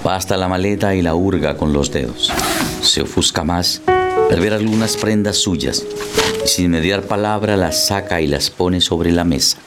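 A man's voice: low-pitched, normal speed. A man narrating a radio drama as a scripted storyteller, describing the scene. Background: loud music.

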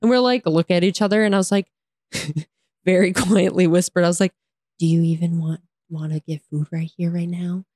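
A woman with an American accent and a hoarse, raspy voice, casually telling a story in slangy, sarcastic, exasperated style and mimicking dialogue. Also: on the low side, steady tone, moderate pace, a little mellow, no room sound, studio quality. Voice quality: raspy, tired voice. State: hoarse from talking all day. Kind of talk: casual chat, animated storytelling.

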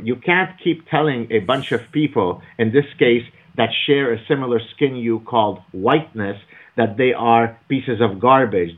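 A man speaking as an author and guest in an interview, emphatic and argumentative, lecturing at length. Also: medium pitch. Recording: phone-like narrow audio.

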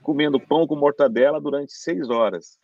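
A man's voice: fairly high pitch, moderate pace, vocal fry, darkish tone, dry recording. A Brazilian man, a voice-over announcer, telling stories as a guest in a casual interview, chatty and full of restarts. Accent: Brazilian Portuguese. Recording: dull dry lo-fi sound.

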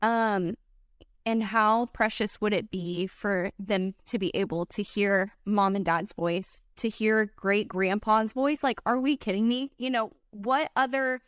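A woman's voice: medium pitch, fairly even intonation, measured pace, smooth, no echo, clean studio sound. A woman wedding filmmaker, a podcast guest, talking in an earnest, chatty way full of "like" and "you know". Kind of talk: casual podcast chat.

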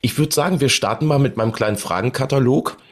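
A man's voice: mid-range voice, quick pace. A man hosting a podcast, presenting and interviewing in a casual way.

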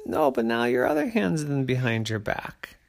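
A man voicing a sweet, polite little girl bear cub in a bedtime story, with a high, excitable voice. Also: normal speed, creaky. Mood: excited.

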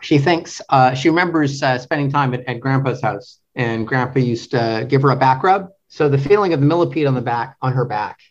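A man from Canada discussing literature as an interview guest, speaking thoughtfully and haltingly, often searching for words. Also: medium pitch, highs cut off. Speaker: literary translator, podcast guest.

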